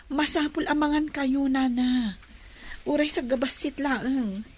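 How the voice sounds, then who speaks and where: High-pitched, earnest young woman acted by a woman. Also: a little slow, a little mellow. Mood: concerned. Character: devoted, caring young woman in love. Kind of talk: radio drama acting.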